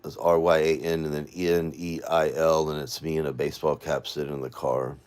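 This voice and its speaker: A man's voice: extremely low pitch, little pitch movement, fairly quick, a little mellow, clean tone. A weary man, rambling and venting to viewers on a late-night livestream from home. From Florida, USA. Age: 40s. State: exhausted. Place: at home, indoors.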